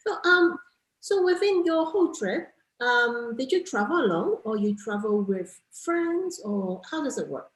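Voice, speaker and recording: Lady asking questions, highish voice, expressive and giggly, casual chat. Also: a little slow, echoey room, low-quality recording. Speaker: interviewer, travel vlogger.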